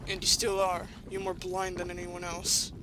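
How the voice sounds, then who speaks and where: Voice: mid-range voice, completely flat delivery, moderate pace, background noise, close to an everyday voice. A performer in an audio drama playing a bitter, vengeful young human who accuses with cold intensity. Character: Frisk, furious vengeful young human protagonist turning cold.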